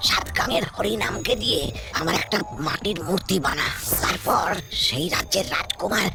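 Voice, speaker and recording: A woman voicing a dying old demon father, breathy and faltering yet scheming, in an animated fairy tale. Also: medium pitch, normal speed, loud music behind the voice. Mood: weak, scheming. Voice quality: breathy, croaky. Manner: faltering, commanding.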